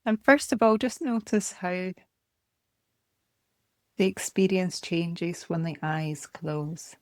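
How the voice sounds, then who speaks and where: A woman meditation teacher leading a guided body scan in a calm, gentle, measured way, speaking in short phrases. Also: lowish voice, no room sound.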